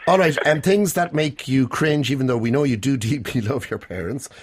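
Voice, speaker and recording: A man's voice: medium pitch, moderate pace, a little hiss or hum. An Irish man hosting a radio show in a studio, chatting casually and teasing his guests with jokes. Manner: teasing, jokey, lively.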